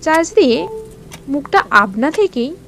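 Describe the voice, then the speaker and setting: A woman narrating a first-person horror story in a suspenseful, theatrical way with dramatic pauses. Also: high voice, very animated, fairly bright tone. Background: music.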